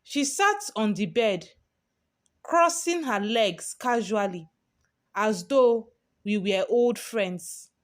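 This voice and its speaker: A woman's voice: mid-range voice, animated, takes it slowly. A woman storyteller giving a dramatic, scripted first-person narration.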